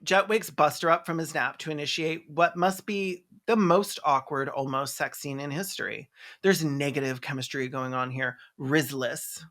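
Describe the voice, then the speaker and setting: Video essayist roasting a movie, sarcastic and sweary, medium pitched voice. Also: fairly even intonation, normal speed, a bit bright. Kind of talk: comedic film review commentary.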